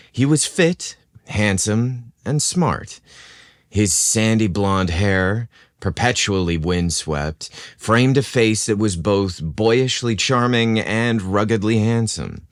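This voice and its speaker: A man hosting a podcast and narrating scripted romantic stories in a theatrical, expressive manner. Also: lowish voice, no echo, studio quality. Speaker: podcast host and story narrator.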